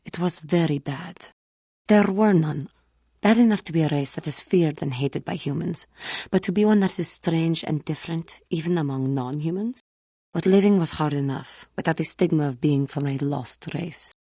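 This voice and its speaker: A woman playing a wounded, earnest female alien Jedi in a video game, speaking in formal, measured phrases. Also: deep voice, warm tone, slightly rough, no echo, studio quality. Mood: bitter, pained. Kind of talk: scripted video game character dialogue.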